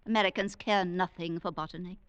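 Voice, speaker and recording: Woman acting a bossy, bright-voiced radio-drama wife. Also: lowish voice, fairly even intonation, smooth, dry recording, close to an everyday voice. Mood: scolding, dismissive. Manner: imperious, brisk, nagging. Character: bossy, scolding, belittling middle-aged wife. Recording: vintage 1940s radio recording.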